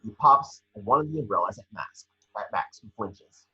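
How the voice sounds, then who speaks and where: Very raspy man reading scene descriptions. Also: lowish voice, animated, normal speed, pushed and bright, muffled recording. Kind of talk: scripted narration at a table read.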